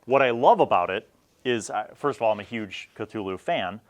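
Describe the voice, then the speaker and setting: A man's voice: lowish voice, lively intonation, normal speed. A middle-aged man hosting a casual review, talking in an enthusiastic, emphatic and conversational way. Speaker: host of a tabletop gaming review series.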